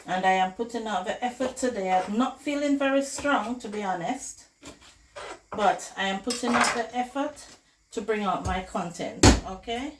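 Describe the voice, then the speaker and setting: A woman with a hoarse, flu-worn voice, chatting casually to viewers as she cooks in her home kitchen. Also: mid-range voice, moderate pace, very noisy. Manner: chatty, addresses viewers as guys. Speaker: cooking vlogger host. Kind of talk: casual cooking tutorial chat. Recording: echoey kitchen with loud clatter. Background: pots, dishes and running water.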